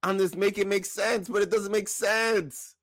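A man's voice: high voice, fairly even intonation, a little slow. A man co-hosting a podcast in casual banter, with an emphatic, mock-incredulous delivery. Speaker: podcast co-host.

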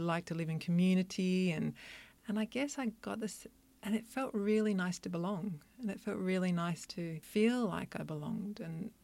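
A woman who practises Chinese medicine, answering interview questions in an earnest, thoughtful, soft-spoken way. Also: fairly low pitch, creaky. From Australia, country Victoria.

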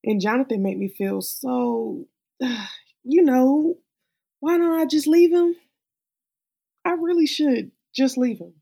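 A woman performing a dramatic monologue as an anxious, exasperated actress confessing her stage fright in a halting, emphatic way. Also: high voice, vocal fry, warm tone, slightly rough, exaggerated voice. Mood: wounded.

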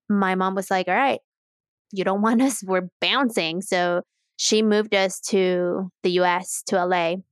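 A woman's voice: medium pitch, no echo. Candid woman from Mexico City, dry close sound. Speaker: podcast guest. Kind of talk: casual personal storytelling.

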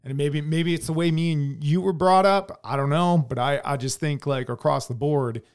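A man's voice: a little high, normal speed, clean tone. Blunt, swearing American man. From United States. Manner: blunt, heavy swearing, many restarts. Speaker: podcast co-host. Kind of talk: casual opinion chat.

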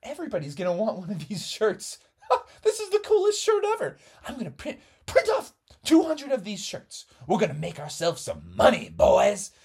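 A woman's voice: on the low side, very animated, normal speed, husky, exaggerated voice. A woman storyteller voicing a greedy factory boss who is scheming to profit from shirts.

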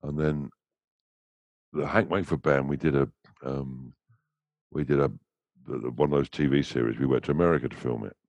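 English male musician, very deep, gravelly voice, rambling anecdotal chat. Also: steady tone, brisk, long pauses, darkish tone, no echo, lo-fi sound. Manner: rambling, anecdotal, many restarts. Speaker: interview guest, musician. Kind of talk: casual chat.

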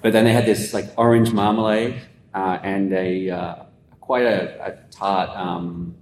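Deep-voiced Australian man, echoey room. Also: measured pace. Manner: relaxed, rambling explainer with many fillers. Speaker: guest. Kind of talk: casual conversational interview. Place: conference venue. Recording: echoey room with faint rumble.

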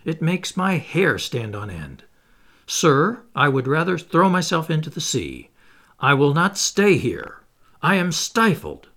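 A man's voice: fairly high pitch, wildly swooping pitch, unhurried, fairly bright tone, voice pushed up, exaggerated voice. A man voicing an impatient Canadian harpooner, furious at being held captive.

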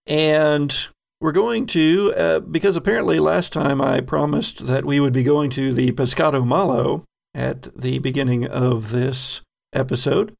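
A man with a gravelly, creaky voice hosts a tabletop roleplaying podcast. He talks in an easygoing, casual way, moving the group along from chat into play. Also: fairly high pitch. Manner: easygoing, moderating the plugs. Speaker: game master and host.

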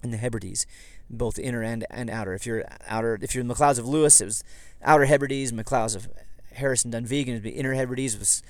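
Slightly hoarse man, dry close sound. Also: mid-range voice, fast, few pauses, dry recording. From southeast Idaho, USA. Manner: rambling, self-correcting, conversational. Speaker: podcast host. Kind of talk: casual history podcast monologue. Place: inside a parked vehicle.

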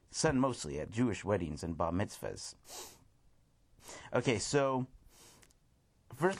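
A man hosting his own music radio show, talking casually and rambling as he thinks aloud, with restarts and shout-outs to his listeners. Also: fairly low pitch. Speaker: podcast host.

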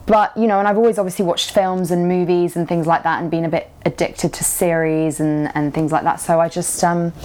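A woman's voice: on the low side, steady tone, brisk, few pauses, a little mellow. A woman actor chatting as an interview guest, rambling and giggling, with lots of fillers. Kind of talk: casual interview chat.

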